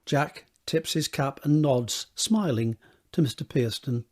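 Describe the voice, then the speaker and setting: A man narrating a scripted drama, setting each scene in a measured, descriptive read with a husky voice. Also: medium pitch, darkish tone.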